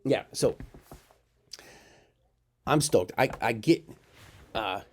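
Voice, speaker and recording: A man telling a personal story in an emotional, self-mocking way, with sudden jumps in pitch. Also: animated, drawn-out words, slightly rough.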